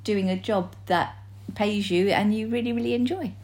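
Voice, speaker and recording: Woman, mellow warm voice, teasing podcast chat. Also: medium pitch, steady tone, normal speed. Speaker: podcast co-host. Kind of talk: casual chat.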